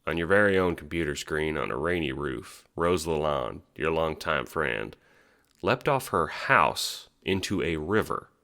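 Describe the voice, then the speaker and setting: A man's voice: very deep voice, lively intonation, unhurried, gravelly, sharp tone. A man reading second-person scripted narration for an audio drama. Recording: background music under the voice.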